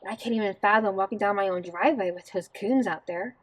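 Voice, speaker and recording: A woman's voice: mid-range voice, fast talker, natural voice. A woman playing a blunt, practical young bride-to-be in an audio drama, wry and informal. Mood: exasperated, wry.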